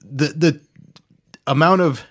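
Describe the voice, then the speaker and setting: A man's voice: fairly high pitch, slow, a bit bright. A man hosting a podcast in casual chat, talking informally like an enthusiastic sports fan. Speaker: podcast host.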